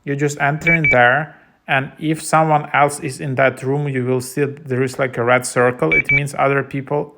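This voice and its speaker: A man's voice: medium pitch, little pitch movement, moderate pace, gravelly, very noisy. A man presenting a casual, unscripted app tutorial, explaining things in a hesitant, loosely phrased way.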